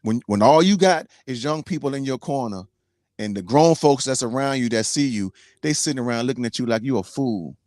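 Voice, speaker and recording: A man's voice: medium pitch, a little fast, darkish tone, dry recording, studio quality. A man in his forties, a team trucker and co-host, talking bluntly and preachily in casual slang. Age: 40s. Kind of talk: casual podcast chat.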